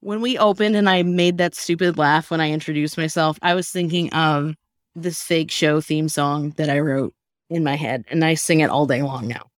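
A woman's voice: deep voice, steady tone, normal speed, no echo, clean studio sound. A woman hosting a podcast in a casual, comedic chat style, informal and full of slangy asides. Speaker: podcast host.

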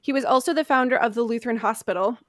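A woman's voice: a little high, rapid-fire, no room sound, studio quality. A woman hosting a podcast, casually telling history from her notes with chatty, self-deprecating asides. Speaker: podcast host presenting history research. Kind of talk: casual storytelling from notes among friends.

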